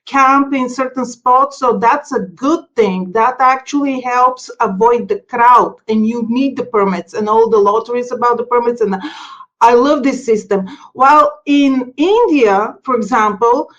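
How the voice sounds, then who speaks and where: High-voiced woman. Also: measured pace, fairly bright tone. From Bulgaria. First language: Bulgarian. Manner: eager, talks in long run-on explanations. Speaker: podcast guest, explorer. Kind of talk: casual interview chat. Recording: dull lo-fi sound.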